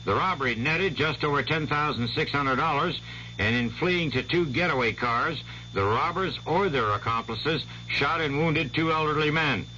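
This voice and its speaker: A man reading the hourly network news as newscaster in a measured, authoritative way. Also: a little high, pushed and bright. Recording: muffled old radio broadcast with faint hiss.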